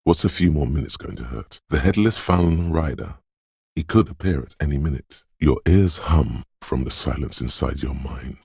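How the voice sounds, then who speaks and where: Spooky brooding inner voice for a game, super deep n husky, hushed and portentous, really dry close recording. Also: male voice, lively intonation, moderate pace, no room sound, exaggerated voice. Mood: dark, wary. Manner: hushed, mysterious, portentous, theatrical. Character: brooding, mystical inner voice of intuition, ominous and superstitious. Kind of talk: dramatic video game narration.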